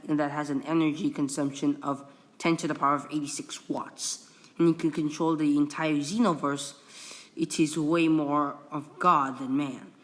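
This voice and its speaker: Young guy reading off a wiki at home, keeps tripping over words and saying sorry, kinda high voice. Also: a bit bright. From Indonesia. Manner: halting, many restarts and apologies. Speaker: video creator. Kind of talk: casual screen-recorded explainer reading from a wiki.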